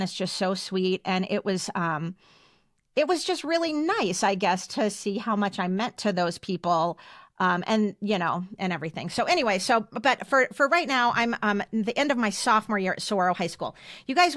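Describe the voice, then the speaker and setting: A woman's voice: mid-range voice, fairly bright tone, dry recording, clean studio sound. A woman in her fifties with an American accent, telling personal stories casually and emphatically as a podcast co-host. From United States. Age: 50s.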